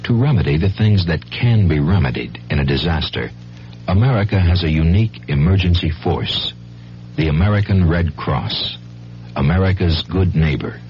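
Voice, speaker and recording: A man's voice: low voice, lively intonation, moderate pace, faint music behind. A man reading a scripted radio appeal as an announcer. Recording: muffled old radio recording.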